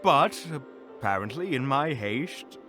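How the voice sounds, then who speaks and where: Man acting as an arrogant, sharp-voiced evil wizard. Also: mid-range voice, lively intonation, slow, faint music behind. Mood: curt, irritated. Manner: smug, curt, theatrical. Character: arrogant, impatient villainous male wizard. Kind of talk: audio roleplay acting.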